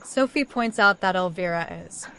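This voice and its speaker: A woman's voice: lowish voice, moderate pace, a crowd in the background. A woman narrating a scripted story recap, telling events in order as a storyteller.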